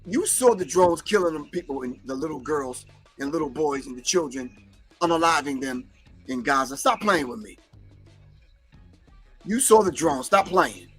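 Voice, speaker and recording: Man, non-native english speaker, high voice, bright tone, emphatic livestream host, faint music behind. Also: lively intonation, normal speed, long pauses, husky. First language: not English. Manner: preachy, emphatic, talks back to chat. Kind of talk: casual livestream commentary.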